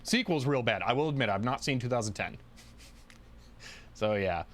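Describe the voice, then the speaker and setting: A man hosting a livestream, chatting casually and thinking aloud in a halting way as he answers viewers. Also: medium pitch, lively intonation, fairly bright tone. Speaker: livestream host.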